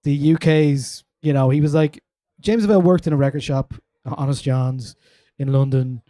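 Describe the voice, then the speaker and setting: Chatty man, mellow voice. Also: mid-range voice, steady tone, moderate pace, no room sound, studio quality. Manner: chatty, lots of "like" fillers, reads quotes aloud. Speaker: host. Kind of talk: casual chat at a live listening event.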